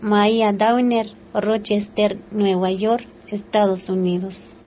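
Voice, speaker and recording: A woman radio announcer reading a listener's letter aloud in a plain, steady way. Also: medium pitch, slow pace. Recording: dull lo-fi radio with faint hiss.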